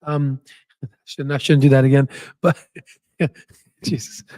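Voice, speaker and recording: A man who hosts a stock market channel, hoarse with his voice nearly gone, talking in a casual rambling way with many fillers and restarts. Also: medium pitch, flat delivery, slow pace, a little mellow, dry recording. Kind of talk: casual chat and stock analysis.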